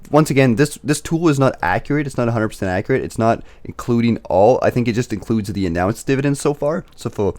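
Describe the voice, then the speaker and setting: Young male host, slightly raspy, rapid casual patter with frequent fillers. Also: fairly low pitch, darkish tone. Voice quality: slightly raspy, recovering from illness. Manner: chatty, filler-heavy, self-correcting. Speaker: dividend investing channel host. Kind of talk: casual screen-share commentary.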